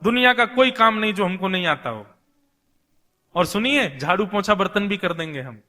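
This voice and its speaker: High, eager little divine boy voiced by a man. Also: expressive, normal speed, pushed and bright. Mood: confident, eager. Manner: earnest, boastful, listing repetitively. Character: small orphan boy, god in disguise, eager, self-assured. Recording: long hall reverb.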